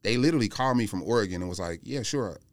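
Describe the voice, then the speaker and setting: A man with a fairly low, smooth voice chats informally on a podcast. He is plain-spoken as he airs complaints and anecdotes, with a dry, close sound. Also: animated, racing, no echo. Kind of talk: casual podcast chat about sneakers.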